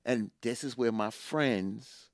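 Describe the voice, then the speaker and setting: A man's voice: mid-range voice, slow pace, vocal fry, dry recording, studio quality. A middle-aged man co-hosting a casual chat, telling a personal story with energy and repeating lines for emphasis.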